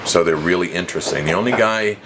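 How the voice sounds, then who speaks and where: A man's voice: sits low, fast. A man in his fifties explaining things in a casual, storytelling way, like a shop dealer talking to a visitor. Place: small cluttered guitar shop, indoors. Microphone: camera mic a short distance away. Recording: noisy handheld camera recording.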